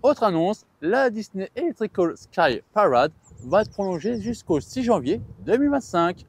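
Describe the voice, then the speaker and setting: Man, fairly high voice, animated intonation, playful vlog co-host, chatty, joking. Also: normal speed, breathy edge. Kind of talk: chatty theme park news vlog.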